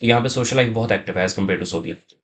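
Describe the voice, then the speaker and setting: A man's voice: lowish voice, quick pace. A young man with a Pakistani accent, speaking as an interview guest in a casual, explanatory way and mixing in English words. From Saudi Arabia, of Pakistani family. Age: young adult. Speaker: interview guest, university student.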